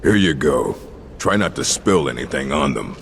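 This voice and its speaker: An actor playing a gruff older male orc librarian in a video game, dry, weary and curt, with a gravelly, husky voice. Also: a bass voice, very animated, moderate pace, noisy background, heavily acted. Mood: matter-of-fact. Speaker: game character. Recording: long hall reverb.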